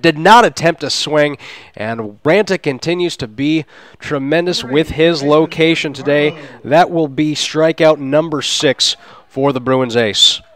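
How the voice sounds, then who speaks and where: A man's voice: fairly high pitch, fairly bright tone. A man calling a baseball game live on radio as play-by-play announcer, with descriptive, flowery phrasing. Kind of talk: live sports radio commentary.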